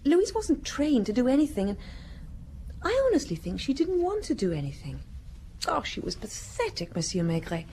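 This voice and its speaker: Haughty, animated young socialite woman. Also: sits high, moderate pace, slight background noise, heavily acted. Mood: disdainful. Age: young adult. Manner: disdainful, theatrical, gossipy. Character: haughty young married Parisian socialite, sharp-tongued, fiery, flippant. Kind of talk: radio drama acting.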